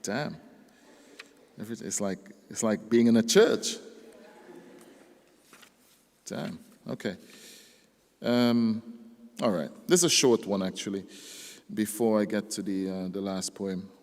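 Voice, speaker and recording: Slow poet in a reverberant hall. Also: male voice, fairly low pitch, gravelly, a little mellow. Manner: slow, deliberate recitation that rises in force on the poems. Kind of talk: live poetry reading with casual stage banter. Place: live event venue. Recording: long reverb of a live hall.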